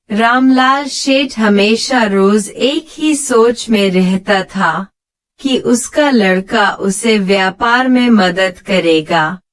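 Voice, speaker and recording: A woman narrating a scripted moral story as the storyteller. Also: mid-range voice.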